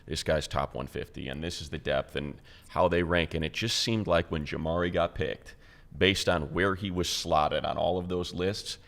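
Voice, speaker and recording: Very deep-voiced American male sports host. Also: fairly even intonation, moderate pace, gravelly, a bit bright. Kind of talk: conversational sports interview.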